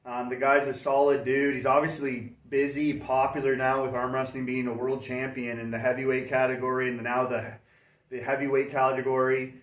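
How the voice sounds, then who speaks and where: A man's voice: medium pitch, little pitch movement, normal speed. Rambling upbeat man, echoey room. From Canada. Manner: rambling, upbeat, self-correcting. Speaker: channel host. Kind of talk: casual livestream chat. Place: indoors near a fireplace. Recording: roomy echo, mic far off.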